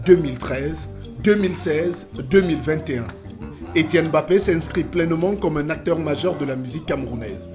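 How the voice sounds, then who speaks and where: A man giving scripted narration for a documentary report in a measured, formal way. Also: on the high side. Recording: voice-over with music behind.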